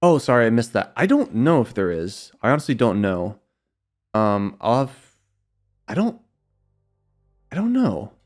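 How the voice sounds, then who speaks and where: A young man with a slightly raspy voice, casually answering viewer questions on a livestream in a rambling, filler-heavy way. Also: medium pitch, very animated, measured pace, long pauses, darkish tone. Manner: rambling, loose, many fillers and repeats.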